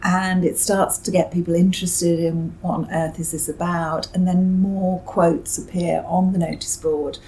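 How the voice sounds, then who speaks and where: Low, creaky-voiced British woman. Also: level tone, a little slow, hardly any pauses, mellow, slight background noise, rough recording. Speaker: interviewed novelist. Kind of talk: reflective interview answers.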